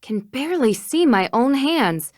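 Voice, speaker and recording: A woman's voice: high voice, very animated, a little slow, dry recording. An actress playing a curious, confident young female detective in a video game, inquisitive and a little dry in manner. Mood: searching.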